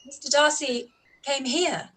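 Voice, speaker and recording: Brief: sharp, spirited young lady, played by a woman, arch and quick, slightly high. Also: fairly even intonation. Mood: sarcastic. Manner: sarcastic, spirited. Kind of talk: live-read radio play.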